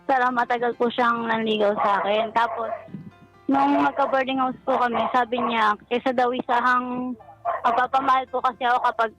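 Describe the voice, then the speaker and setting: Higher-voiced woman, polite caller. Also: little pitch movement, moderate pace, a bit bright, low-quality recording. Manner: polite, deferential speech. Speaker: caller seeking relationship advice. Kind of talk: personal storytelling on a radio call-in show. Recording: music playing behind the voice. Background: background music.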